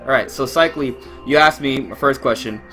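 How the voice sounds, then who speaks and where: A man's voice: medium pitch, normal speed, fairly bright tone, faint music behind, poor audio. Chatty young man streaming live. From Miami, Florida, USA. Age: 20s. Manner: rambling and chatty, many fillers and restarts, addresses chat directly. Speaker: YouTuber hosting a live Q&A stream. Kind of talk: casual live-stream chat.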